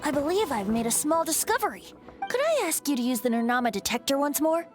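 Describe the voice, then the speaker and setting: A woman's voice: high-pitched, big pitch swings, fast, music in the background. A woman voicing a playful young male bard in a game, airy and teasing. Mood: curious. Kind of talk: game voice acting.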